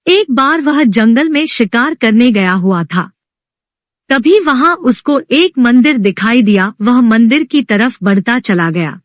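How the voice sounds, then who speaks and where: Slow, high-voiced woman storyteller. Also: animated, no room sound. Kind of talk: scripted devotional story narration.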